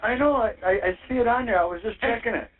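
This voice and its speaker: High-pitched man on a tinny phone. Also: quick pace, a little hiss or hum. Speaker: receiver of a jail phone call. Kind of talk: casual phone conversation. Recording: tinny recorded phone line.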